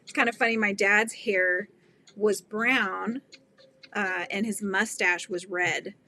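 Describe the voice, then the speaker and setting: A woman's voice: medium pitch, fairly even intonation, slow pace, sharp tone. A middle-aged woman hosting a casual crochet livestream, chatting and laughing as she reads viewer comments. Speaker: livestream host. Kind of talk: casual chat while crocheting.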